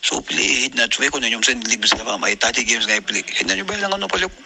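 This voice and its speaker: Fast-talking Gambian man over loud noise. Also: medium pitch, very animated, creaky. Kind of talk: panel discussion of football.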